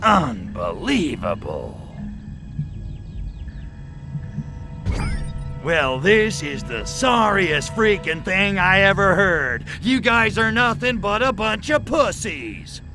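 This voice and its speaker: An actor playing a crude, wisecracking alien invader in a video game, with a sarcastic, slangy American delivery. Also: male voice, high voice, big pitch swings, takes it slowly, hardly any pauses, exaggerated voice. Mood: exasperated, mocking. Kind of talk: video game cutscene acting. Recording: loud music behind voice.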